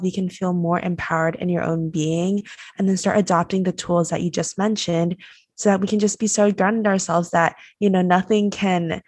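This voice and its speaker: A woman's voice: lowish voice, no echo. Young woman from Taiwan, reflective host. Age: early 20s. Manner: warm, appreciative, reflective, uses many fillers. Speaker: host, college student. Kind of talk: conversational interview.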